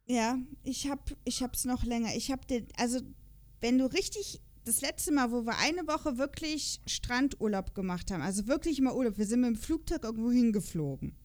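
A woman's voice: a little high, lively intonation, moderate pace, a little mellow. A woman co-hosting a podcast in a casual chat, speaking in an informal, colloquial and playfully teasing way. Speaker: podcast co-host.

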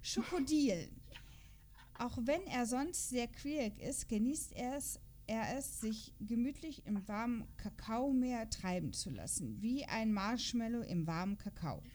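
A woman's voice: a little high, animated, a little slow, a little mellow. A woman in a casual podcast chat, playful and giggly, reading character profiles aloud and stumbling over words. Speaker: podcast host.

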